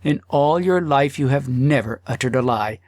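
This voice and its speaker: A man's voice: medium pitch, animated, a little mellow, no echo, natural voice. Guy doing a devoted wife in an audiobook, earnest and pleading, very up and down, close dry sound. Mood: troubled, pleading. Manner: earnest, emotional, deliberate. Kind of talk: audiobook character acting.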